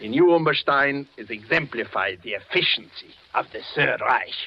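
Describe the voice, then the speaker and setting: An actor playing an officious, menacingly genial Nazi plant boss, formal and halting, in 1940s radio style. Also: male voice, mid-range voice, lively intonation, gravelly. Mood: grave, insinuating. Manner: halting, formal, chuckling authority. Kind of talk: 1940s radio suspense acting. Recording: muffled, noisy old radio broadcast.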